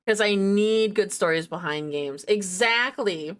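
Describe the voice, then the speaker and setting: A woman's voice: medium pitch, a little slow, clear, resonant tone. A woman hosting a game livestream, chatting casually and playfully to herself and her viewers in a giggly manner. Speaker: game streamer, host.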